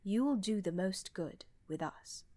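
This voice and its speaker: A woman playing a sly, teasing, confident empress in a fantasy audio roleplay, with a smooth-talking manner. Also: medium pitch, animated, measured pace, a little mellow, dry recording, lightly acted. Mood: confident, persuasive.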